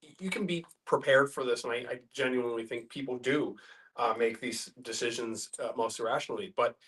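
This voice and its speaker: A man taking part in a group discussion, reflecting philosophically in a halting way as he works through his thoughts. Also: mid-range voice, fairly bright tone. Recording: group in room, distant mic.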